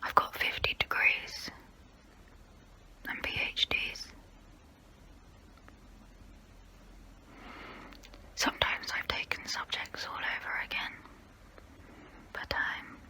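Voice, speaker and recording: A woman voicing a haughty immortal vampire who looks down on humans, in a breathy close-up whisper for an ASMR role play. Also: sharp tone. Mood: boastful. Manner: slow, deliberate, condescending.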